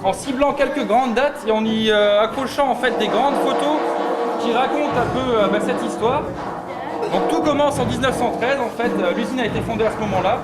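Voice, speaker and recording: A woman guides visitors with informal, unscripted explanations. Her voice echoes in a large hall, against loud music and a very noisy crowd. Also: fairly high pitch, brisk. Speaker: exhibition guide. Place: large indoor public space.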